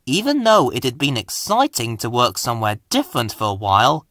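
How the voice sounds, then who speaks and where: A man's voice: medium pitch, huge pitch swings, brisk, fairly bright tone, no echo, studio quality. A man narrating a scripted children's story.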